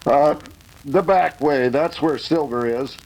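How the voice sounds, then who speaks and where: A man playing a calm, commanding cowboy hero in an old-time radio western. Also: a little high, takes it slowly, poor audio. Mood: assured.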